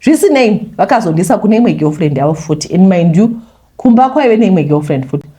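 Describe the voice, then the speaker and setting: A Zimbabwean woman narrates a personal confession at a brisk pace. Her voice is soft-edged and mellow, sitting a little low. She keeps it conversational, slipping English phrases into the story. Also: expressive. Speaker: YouTuber reading a listener's confession.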